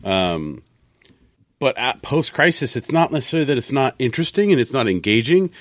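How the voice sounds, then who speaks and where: A man's voice: medium pitch, big pitch swings, a little fast, no room sound, studio quality. A man co-hosting a podcast in casual panel chat, rewording his thoughts as he rambles along. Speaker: podcast co-host.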